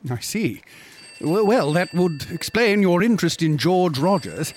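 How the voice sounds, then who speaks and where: A higher-pitched, gossipy British reporter. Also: male voice, expressive, darkish tone, slight background noise. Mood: polite. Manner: affable, measured, understated. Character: polite, gossipy British newspaper reporter in London. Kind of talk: radio drama acting.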